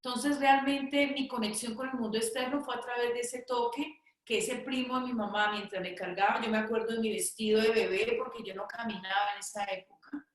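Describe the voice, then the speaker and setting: A woman with a Colombian accent giving a conversational personal testimony in a reflective way, pausing for short check-in questions. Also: on the high side, fairly even intonation. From Cali, Colombia. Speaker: guest speaker, teacher. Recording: very echoey room sound.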